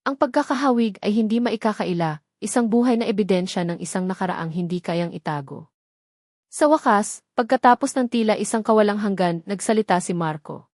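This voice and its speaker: A woman's voice: medium pitch, a little fast, darkish tone, no room sound, studio quality. A woman narrating a scripted dramatic story in a solemn, melodramatic manner.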